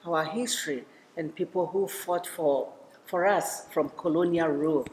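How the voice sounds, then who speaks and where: Woman, low register, sincere community leader, reverberant hall recording. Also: darkish tone. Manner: earnest, measured, with frequent fillers. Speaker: executive director of a community organization. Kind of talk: documentary interview. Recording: long reverb with faint noise.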